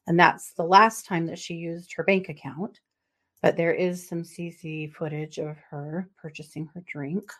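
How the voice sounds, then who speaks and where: A woman's voice: fairly low pitch, steady tone, a little slow, a bit bright. A middle-aged woman co-hosting a podcast, chatting casually and then recounting a true crime case with plenty of fillers and asides. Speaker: podcast co-host.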